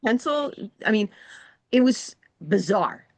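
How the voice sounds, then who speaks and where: A woman's voice: mid-range voice, very animated, slow pace, a little mellow. A woman from New England, a guest game designer, telling stories in a rambling, casual way with lots of fillers. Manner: rambling storyteller, many fillers. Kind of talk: casual conversation.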